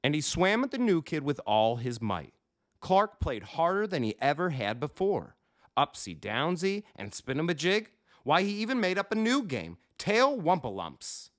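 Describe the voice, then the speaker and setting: A man narrating a children's picture book in an expressive, emphatic storytelling style. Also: on the high side, moderate pace. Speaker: storybook reader, coach.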